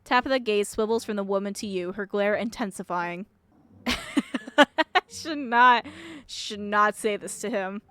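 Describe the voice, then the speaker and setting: A woman's voice: medium pitch, a little slow, clean tone. A woman streaming a game with playful, giggly commentary, reading the on-screen text aloud. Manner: giggly, excitable. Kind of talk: playful gaming commentary with read-aloud dialogue.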